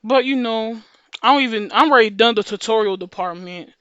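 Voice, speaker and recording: Woman with an unusually deep, smooth voice. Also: brisk, fairly bright tone, dry recording, dull audio. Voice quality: voice deeper than usual for a woman. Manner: rambling, slangy, repeats and restarts phrases. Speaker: video creator. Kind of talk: casual monologue to viewers.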